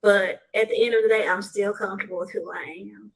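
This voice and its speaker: A woman from the southern United States answering interview questions, laying out her advice point by point in a measured way. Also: medium pitch, fairly even intonation, poor audio. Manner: measured, advice-giving, lists points in order. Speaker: guest speaker.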